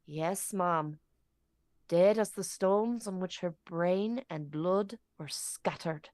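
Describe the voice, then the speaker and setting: A woman plays a gossipy servant in a period radio drama. She tells her grim tale slowly and with relish, deferential yet dramatic. The sound is close and dry. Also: fairly low pitch, darkish tone, no room sound. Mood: grave. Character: gossipy, dramatic old-time English local servant witness.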